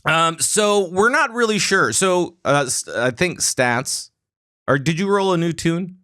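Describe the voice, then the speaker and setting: A man's voice: a little high, expressive, measured pace, pushed and bright, no echo, clean studio sound. A man hosting a podcast, chatting casually about a game and rambling with many fillers and restarts. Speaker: podcast host. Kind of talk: casual gaming chat.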